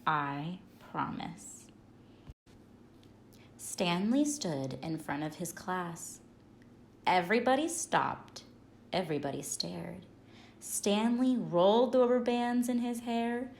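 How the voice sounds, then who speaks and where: A woman reading a children's picture book aloud in an expressive, measured way. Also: medium pitch, creaky, a little hiss or hum. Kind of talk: read-aloud storytelling.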